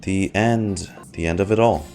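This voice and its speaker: A man's voice: sits low, a little slow, a little mellow. A man streaming a game reaction, reading dialogue aloud and giving his commentary over game music.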